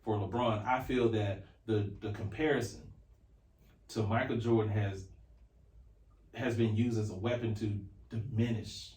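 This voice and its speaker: A man's voice: on the low side, steady tone, unhurried, darkish tone. A man in his forties from North Carolina with an American accent, chatting casually and emphatically as a podcast co-host about sports. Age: 40s. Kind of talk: casual sports talk, opinionated. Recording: roomy echo, distant from mic.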